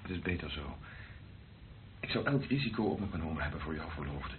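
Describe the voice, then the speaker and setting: An actor in a radio play playing an earnest, consoling priest in love, speaking in a measured, reassuring way. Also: male voice, a bass voice, lively intonation. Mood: earnest, tender. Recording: narrow, noisy old radio recording.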